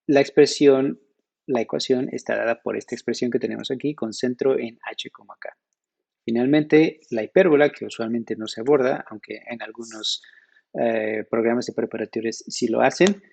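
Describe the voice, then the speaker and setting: Man, young adult, Mexican Spanish accent, gravelly, a bit husky, measured teacherly presenter, computer webcam. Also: mid-range voice, darkish tone. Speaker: conference presenter. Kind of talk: recorded slide presentation.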